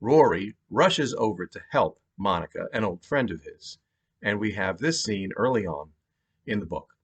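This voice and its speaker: Animated American man with slightly husky voice. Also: fairly low pitch, moderate pace. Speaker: author reading own novel. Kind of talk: scripted narration, reading aloud. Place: home basement.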